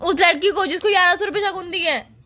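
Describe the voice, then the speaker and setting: A woman's voice: very high-pitched, fast talker, pushed and bright. A young woman playing a pleading, jealous, insistent girlfriend in a casual comic phone-call skit. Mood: hurt, insistent.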